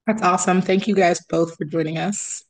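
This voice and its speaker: A woman's voice: on the low side, steady tone, a little fast, poor audio. A woman hosting a casual community meeting over a video call, friendly and keeping things moving. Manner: friendly, moves things along. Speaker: meeting host.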